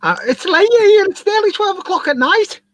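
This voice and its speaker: A man's voice: very high voice, animated, normal speed, a bit bright, no room sound. A man chatting casually as a guest modeler on a livestream video call. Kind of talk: casual chat on a group call. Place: home loft hobby room, on a video call.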